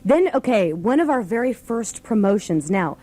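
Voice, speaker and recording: Woman radio host just chatting and reminiscing, soft mellow voice, informal. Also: mid-range voice, sing-song, normal speed. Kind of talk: casual live radio chat, reminiscing. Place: radio studio.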